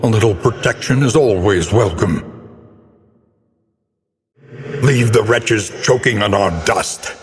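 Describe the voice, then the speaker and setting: Slow, grim doom-laden game narrator. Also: male voice, lowish voice. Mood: grave, resolute. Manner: grave, ominous, theatrical, measured. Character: grim, brooding male narrator of a doomed world. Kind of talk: dramatic scripted gothic narration. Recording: long cavernous reverb.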